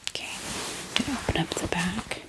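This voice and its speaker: A woman hosting a bookish ASMR video in a soft whisper, talking gently and informally to her viewers without hurry. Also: moderate pace, poor audio. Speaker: book haul ASMR host.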